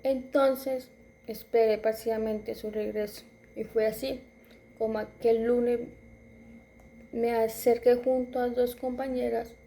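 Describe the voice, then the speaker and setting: Dark, gravelly-voiced young woman reading. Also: on the high side, slight background noise. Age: 20s. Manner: halting reading with restarts and self-corrections. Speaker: student. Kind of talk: reading a personal narrative aloud.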